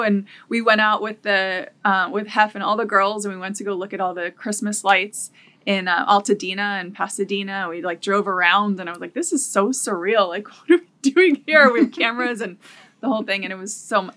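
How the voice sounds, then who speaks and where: A woman's voice: medium pitch, bright, forceful tone. A woman from Los Angeles, a former TV producer, telling stories in a casual studio podcast chat, with many fillers. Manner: chatty, fillers and storytelling. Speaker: guest, former executive producer.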